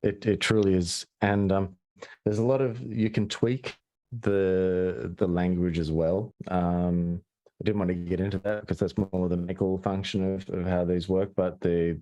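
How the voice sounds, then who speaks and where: A man's voice: low voice, a little mellow. An Australian man giving a conversational webinar presentation, hesitant and full of restarts.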